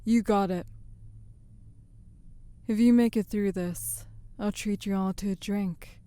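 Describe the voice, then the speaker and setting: A woman plays a worldly female syndicate enforcer in a crime audio drama. She takes her time, speaking in a mellow, relaxed voice with a wry, knowing edge. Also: mid-range voice, dry recording, lightly acted. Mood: wry, teasing. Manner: unhurried, knowing, teasing.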